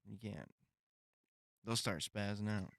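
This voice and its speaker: A young man in his twenties makes casual podcast talk as a co-host. His voice is on the low side, with very little room sound. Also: takes it slowly, gravelly, fairly bright tone, no room sound, clean studio sound. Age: 20s. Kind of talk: casual chat.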